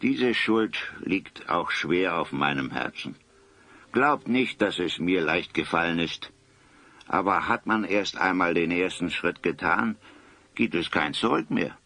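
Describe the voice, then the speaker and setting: A man's voice: deep voice, expressive, unhurried, gravelly, pushed and bright, exaggerated voice. An actor playing a bitter, vengeful grandfather in a German audio drama, speaking formally and theatrically to justify himself, weighed down by guilt. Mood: remorseful, heavy. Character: bitter, vengeful grandfather, proud yet guilt-laden villain.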